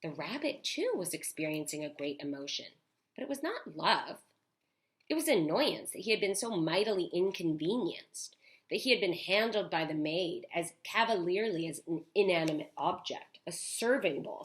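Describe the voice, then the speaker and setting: A woman teacher reading a storybook aloud to children, expressive, with chatty asides. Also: fairly low pitch, moderate pace. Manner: animated, with chatty asides to young listeners.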